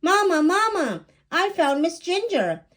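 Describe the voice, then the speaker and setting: A woman's voice: extremely high pitch, sing-song, unhurried, a bit bright, pitched above the performer's own voice, exaggerated voice. A woman voicing a cheerful, helpful young girl in a children's storybook. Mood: pleased.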